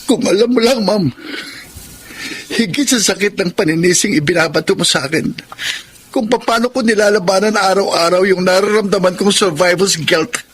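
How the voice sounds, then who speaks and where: Very high-voiced, pleading young brother. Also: male voice, normal speed, fairly bright tone, breathy edge. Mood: wounded, guilt-ridden. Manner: emotional, pleading. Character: earnest, guilt-ridden young elder brother, pleading and devoted. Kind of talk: Filipino radio drama acting.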